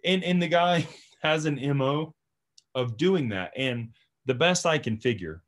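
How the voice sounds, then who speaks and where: A man's voice: fairly high pitch, lively intonation, measured pace. A man who runs a tree service, chatting casually and telling stories from work. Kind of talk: casual chat, storytelling from work.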